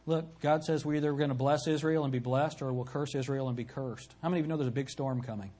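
A man preaching a sermon from the pulpit, emphatic, swinging from loud peaks to low, quiet lines. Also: fairly even intonation, fast talker. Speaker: pastor.

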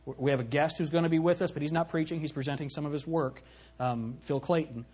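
A man preaching a sermon from the pulpit, emphatic, swinging from loud peaks to low, quiet lines. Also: fairly even intonation, fast talker, slightly rough. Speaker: pastor.

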